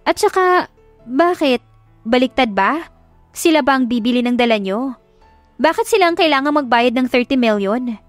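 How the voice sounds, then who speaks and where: A woman's voice: high-pitched, expressive, moderate pace, long pauses, no echo, faint music behind. A woman voicing a feisty young Filipina heroine in an audiobook, exclamatory and prone to panicked stammering. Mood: wary, questioning.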